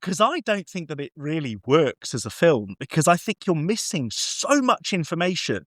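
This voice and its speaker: A man chatting casually about film in an animated, conversational way. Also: a little high, moderate pace, no room sound, clean studio sound. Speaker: podcast host.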